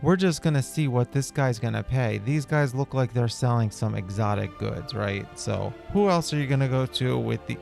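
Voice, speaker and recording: A man's voice: mid-range voice, moderate pace, creaky, few pauses, a little mellow, soft background music. A man hosting a gameplay video, giving casual, rambling, laid-back commentary in character.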